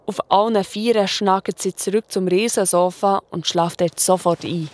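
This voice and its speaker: A woman's voice: mid-range voice, fairly even intonation, moderate pace, no room sound. A woman with a Swiss accent narrating a children's story in a colloquial style.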